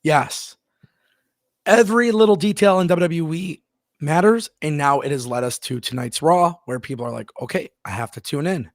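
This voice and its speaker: A man hosts a live wrestling talk show with a gravelly edge to his voice. He talks emphatically and stops now and then to read out viewer messages. The sound is dry and close to the mic. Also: a little high, normal speed, no room sound. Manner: emphatic, repeats words, reads viewer messages aloud. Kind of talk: casual live-stream chat.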